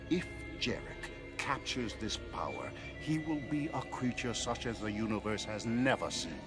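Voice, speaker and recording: An actor playing a wise old Jedi master who delivers a grave, measured prophecy. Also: male voice, on the low side. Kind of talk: game cutscene acting. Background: cinematic music.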